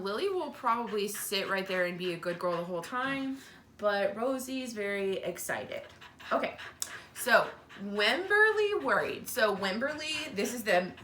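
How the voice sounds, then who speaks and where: A woman's voice: mid-range voice, a little hiss or hum. A woman teacher reading a picture book aloud to children, expressively, with casual explanatory asides. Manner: expressive, explains to young listeners. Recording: roomy, echoey home recording.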